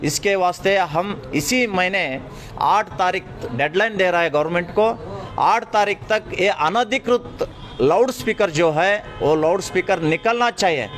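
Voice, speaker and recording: Man, high voice, press conference statement. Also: a little slow, fairly bright tone, faint music behind.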